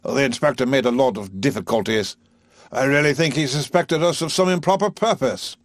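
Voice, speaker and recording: A man's voice: medium pitch, a little fast, no echo, studio quality, pitched above the performer's own voice, lightly acted. A man voicing an earnest, concerned older male colonel.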